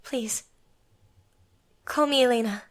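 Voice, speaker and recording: A woman's voice: fairly high pitch, slow. A woman playing a timid, polite young opera singer in an audio drama, hesitant and deferential. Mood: shy.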